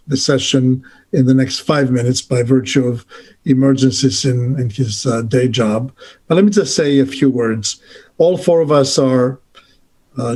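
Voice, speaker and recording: A man moderating a panel on a video call, speaking in a polite, measured way with frequent fillers. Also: medium pitch, darkish tone. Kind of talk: formal introductory remarks.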